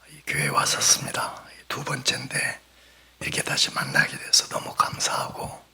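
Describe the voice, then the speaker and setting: A male missionary preacher who has lost his voice to a throat cold, giving an earnest sermon in a hoarse whisper and clearing his throat now and then. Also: medium pitch, little pitch movement, normal speed, pushed and bright. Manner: apologetic, earnest, plain storytelling.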